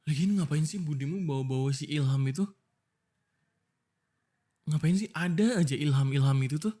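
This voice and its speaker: A man's voice: fairly high pitch, moderate pace, dark tone. A young man with an Indonesian accent, voicing a frustrated, pleading boyfriend in an ASMR roleplay.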